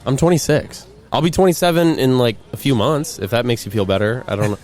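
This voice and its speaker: A man's voice: medium pitch, quick pace, darkish tone, faint music behind. A man in his twenties hosting a podcast outdoors, talking casually with many fillers and easy laughs. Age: 20s. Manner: hesitant, filler-heavy, laughs easily. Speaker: podcast host. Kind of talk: casual chat. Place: outdoors by a racetrack.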